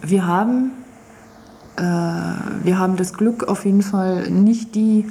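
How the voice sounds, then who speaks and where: Some background noise and ambience surround a woman answering in an interview. Her voice is mellow and soft-edged, and she speaks spontaneously, hesitantly searching for words. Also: medium pitch, slow pace, gravelly. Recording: noisy interview recording with ambience.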